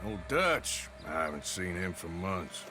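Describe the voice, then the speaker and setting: Low, sardonic American outlaw. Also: male voice, sing-song, a little slow, over music. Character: wry, tough male outlaw gunman of the Old West. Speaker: actor in a video game cutscene. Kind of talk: cinematic western game acting.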